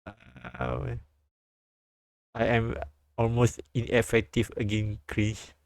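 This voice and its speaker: A man streaming a live read-aloud of a visual novel, halting and repeating lines as he goes. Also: medium pitch, level tone, creaky, no room sound, clean studio sound.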